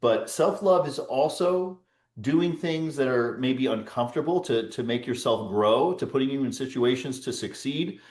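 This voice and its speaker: A man's voice: mid-range voice, expressive, moderate pace, a little mellow. A man hosting a casual sobriety support call over video, encouraging and emphatic, repeating his points. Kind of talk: casual group chat. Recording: roomy echo.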